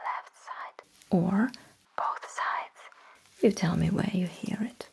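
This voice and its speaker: A woman playing a calm, courteous alien battlesuit technician in a sci-fi ASMR roleplay, giving soft, encouraging instructions in a breathy whisper. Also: on the low side, takes it slowly, full character voice.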